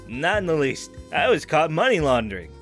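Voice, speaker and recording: A male actor plays a friendly crook behind bars in an audio drama. His voice sits a bit high and swings around in lively fashion. He talks casually and playfully, always teasing his cellmate. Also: normal speed, faint music behind. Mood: wry, chatty. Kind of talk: audio drama character acting.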